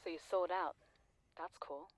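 An actress playing a lonely, persistent former classmate, probing and wryly blunt, in a naturalistic audio drama. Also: female voice, lowish voice, steady tone, a little slow, no room sound, natural voice. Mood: wry, vulnerable.

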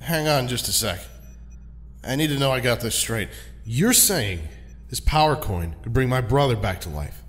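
A man's voice: mid-range voice, expressive, normal speed, lightly acted. A man playing a passionate, protective older brother and young hero in an audio drama, intense and insistent. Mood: questioning. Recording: long hall reverb.

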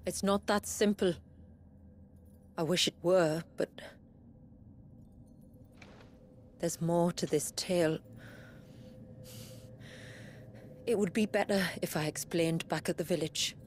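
An actress playing a grave village woman who recounts her people's tragic past in a measured, formal, earnest way for a video game. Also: lowish voice, fairly even intonation, slight background noise, natural voice. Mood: troubled, earnest. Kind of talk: solemn scripted storytelling in video game drama.

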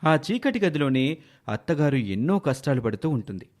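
A man's voice: mid-range voice, very animated, moderate pace, darkish tone. A man narrating a scripted moral story in a dramatic storytelling style.

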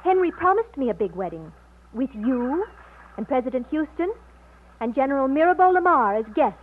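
High-voiced sweet young fiancée, old radio. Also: female voice, sing-song, normal speed. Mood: bright, pleased. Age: young adult. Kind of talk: 1950s radio drama acting. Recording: narrow-band old radio recording with faint noise.

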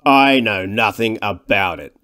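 A man's voice: lowish voice, measured pace, bright, forceful tone. A man voicing a contemptuous, domineering scientist in an audiobook, blunt and scornful. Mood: angry disdain. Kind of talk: audiobook character dialogue.

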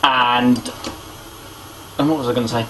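Young British male, mid-pitched, casual and halting sports vlogger, background noise. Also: steady tone, a bit bright, poor audio. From Britain. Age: young adult. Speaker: boxing vlogger. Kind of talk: casual opinion commentary on sport. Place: small domestic kitchen indoors. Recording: clear background noise, homemade camera audio.

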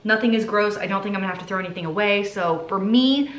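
Woman, 30s, casual home vlogger, chatty and candid, mid pitch, roomy kitchen echo. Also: fast. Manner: chatty, candid, laughs at herself. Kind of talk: casual product review. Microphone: camera on a counter at medium distance. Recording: echoey kitchen room.

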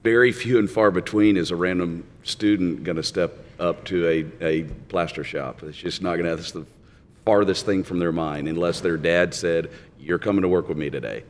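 Very deep-voiced panelist man. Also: fairly even intonation, moderate pace. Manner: direct, opinionated. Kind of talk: panel discussion. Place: conference hall stage panel. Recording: reverberant conference hall.

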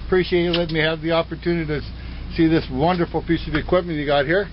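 A man behind the camera, asking friendly, upbeat quick questions in casual on-the-spot interviews. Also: fairly high pitch, flat delivery. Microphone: handheld camcorder mic. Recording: muffled camcorder with loud noise.

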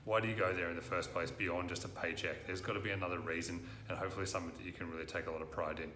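An Australian man in his thirties, speaking earnestly to camera as a recruitment presenter, often pausing with "uh". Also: low voice, fairly even intonation, sharp tone, a little hiss or hum. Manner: earnest, frequent "uh" fillers. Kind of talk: direct-to-camera talk. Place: office room, indoors. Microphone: lapel mic. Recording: roomy office echo.

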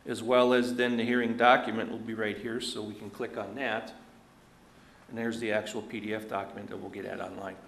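A man in a roomy chamber, his voice carrying some echo over a faint background hum. He walks through the material step by step in a plain way, tossing in casual asides. His pitch stays fairly even and flat throughout. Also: brisk, vocal fry. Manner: plain, step-by-step walkthrough with "you know" asides. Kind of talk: walking through an online agenda at a public meeting. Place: council meeting room.

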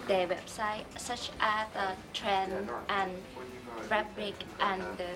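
A woman's voice: mid-range voice, level tone, slow. A young woman, a student, giving a promotional presentation.

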